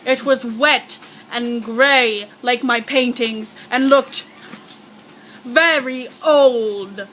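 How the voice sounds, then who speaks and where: A woman reading a scary story aloud in a theatrical, halting, playful amateur way, projecting her voice. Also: on the high side, slight background noise, poor audio. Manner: projecting loudly.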